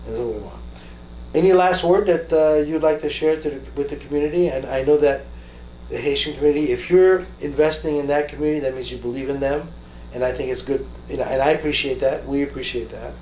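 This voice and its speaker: A man hosting an interview in a conversational way, haltingly, repeating words as he searches for his phrases. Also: medium pitch, darkish tone. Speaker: talk show host interviewing a guest. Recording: roomy echo with faint background noise.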